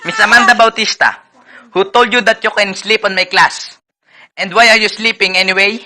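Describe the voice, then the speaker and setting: A man's voice: high voice, fairly even intonation, normal speed, pushed and bright. A man playing an angry, stern school professor scolding students in an amateur radio drama. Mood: stern, irritated.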